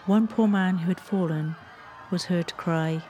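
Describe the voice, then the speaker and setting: A woman's voice: fairly low pitch, normal speed, mellow. A woman reading scripted historical narration as a storyteller.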